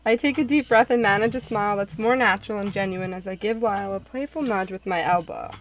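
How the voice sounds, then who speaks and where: A woman's voice: mid-range voice, fast, a little hiss or hum, poor audio. Female narrator, scripted story reading, visual novel, middle register.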